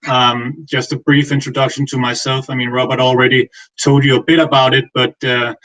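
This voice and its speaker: Male presenter with a Danish accent, average pitch, semi-formal conference delivery, somewhat halting. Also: little pitch movement, bright, forceful tone, poor audio. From Denmark. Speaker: guest speaker, finance executive.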